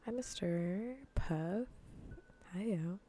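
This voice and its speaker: A woman livestreamer chatting softly in a breathy, mostly whispered ASMR voice close to the mic, rambling playfully and repeating her phrases. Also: on the low side, very slow, soft background music. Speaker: livestreamer hosting an ASMR gaming stream. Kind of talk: soft ASMR casual chat to viewers. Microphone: close ASMR microphone.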